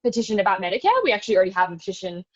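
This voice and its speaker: Aussie woman walking people through an online tutorial, casual and chatty, medium pitch, kinda muffled call audio. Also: very animated, very fast. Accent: Australian. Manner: casual, chatty, frequent "like" and "super". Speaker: webinar presenter giving a demonstration. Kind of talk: informal step-by-step tutorial. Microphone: video call. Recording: dull lo-fi video call audio.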